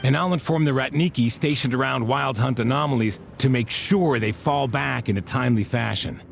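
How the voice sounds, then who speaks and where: A man voicing a dutiful, courteous male officer in a video game cutscene, calm and polite. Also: mid-range voice, fairly quick, gravelly, slight background noise, lightly acted.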